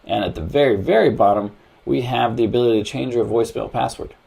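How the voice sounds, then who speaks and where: A man's voice: mid-range voice, quick pace, gravelly, a little mellow, husky. A man narrating a casual step-by-step phone tutorial in a plain-spoken, conversational way, as the host of a tech how-to.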